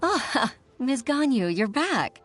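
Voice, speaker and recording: A courteous, considerate official in a video game. The pitch is average and the delivery slow and polite. Music plays faintly behind the voice. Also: female voice, big pitch swings, a bit bright, exaggerated voice. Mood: grateful. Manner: polite, unhurried. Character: courteous, grateful woman official, mild and considerate.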